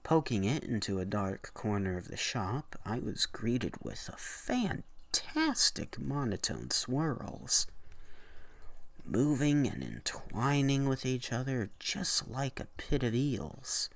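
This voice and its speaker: A man narrating in a deliberate, theatrical way, as a dramatic reader of horror stories. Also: mid-range voice, very animated, dry recording. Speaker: narrator of horror story readings.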